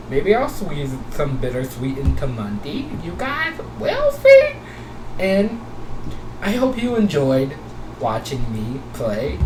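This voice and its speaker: A gaming video host giving casual commentary and a sign-off, gushing and repeating phrases over and over. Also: medium pitch. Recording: noisy, echoey room, distant mic.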